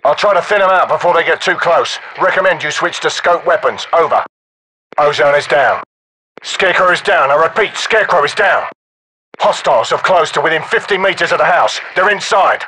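A man's voice: a little high, fairly quick, pushed and bright, breathy edge, poor audio. A man playing a cool, methodical special forces sniper in a game, reporting in a clipped, matter-of-fact radio manner. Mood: alert, controlled. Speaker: sniper overwatch.

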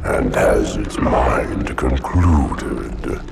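An actor playing an ancient monstrous parasite hive mind in a video game, rumbling out grandiose, riddling pronouncements. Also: male voice, animated, very slow, far from the mic, full character voice. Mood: solemn menace. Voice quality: rumbling, gravelly. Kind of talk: cinematic video game villain acting. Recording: dramatic music behind.

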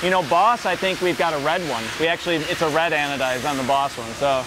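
A man's voice: fairly high pitch, fast. A middle-aged man with an American accent gives a casual, unscripted factory tour explanation, conversationally checking that his listener follows. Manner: conversational, often checking with "right?". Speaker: company representative giving a factory tour. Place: large indoor factory floor. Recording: noisy factory floor with machinery hum.

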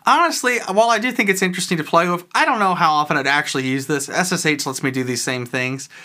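A tech video host giving a casual, rambling walkthrough of a software tool, thinking aloud while clicking. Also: mid-range voice, fast talker.

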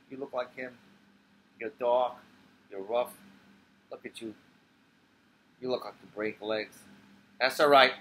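A man's voice: medium pitch, a bit bright, close to an everyday voice, poor audio. Stage play: boozy elderly nursemaid, read by a man, sentimental and salty, rambling fondly, some noise behind. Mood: wounded, fond. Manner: rambling, coarse, doting. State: acting drunk. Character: drunken old woman, sentimental, coarse former nursemaid.

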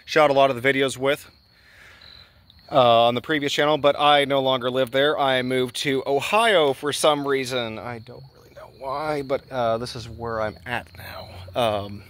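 A man's voice: medium pitch, normal speed, a bit bright. Casual vlog host, man in his 30s, mid-range voice, filler-heavy and joking, handheld camera close up. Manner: rambling, self-deprecating, filler-heavy. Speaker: channel host. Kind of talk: casual vlog walk-and-talk. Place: abandoned building halls and outdoor grounds. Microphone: handheld camera held close, low angle.

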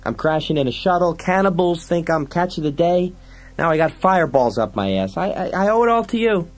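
A man voicing an excitable, whiny wisecracking crook in an audiobook, complaining and stammering, aggrieved and panicky. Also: on the high side, a little fast, voice pushed up, lightly acted. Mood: sarcastic, aggrieved. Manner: motor-mouthed, complaining, stammering. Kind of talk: dramatised audiobook science-fiction dialogue.

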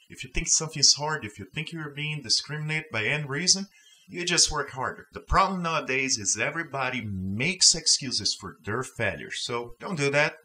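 A man's voice: medium pitch, bright, forceful tone, low-quality recording. A man from Brazil hosting and reading online stories aloud, with emphatic, opinionated commentary. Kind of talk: scripted story reading with personal commentary.

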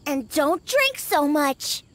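A woman's voice: sits high, very animated, slow pace, exaggerated voice. A woman voicing a proud, huffy young cat-girl bartender in a video game. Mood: huffy, confident. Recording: background music under voice.

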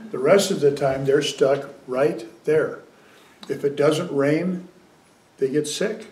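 An older man giving a dharma talk as a Zen teacher, conversational and gently humorous. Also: fairly high pitch. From United States. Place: small meditation room indoors. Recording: roomy, distant sound.

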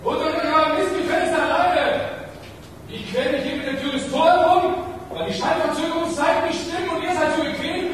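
Ein Schauspieler spielt im Hörspiel einen gereizten Bauarbeiter, der herrisch über die Baustelle brüllt. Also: male voice, very high voice, fairly even intonation, brisk, slight background noise. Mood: irritated. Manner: shouting, bossy. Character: irritated workman bellowing across a building site. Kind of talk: radio drama acting. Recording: very echoey shaft, far from mic.

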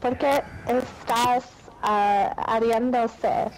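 A woman from Mexico chatting in game voice chat, halting and mixing Spanish and English. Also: a little high, fairly even intonation, a little hiss or hum, poor audio. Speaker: gamer in voice chat. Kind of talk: casual voice-chat conversation.

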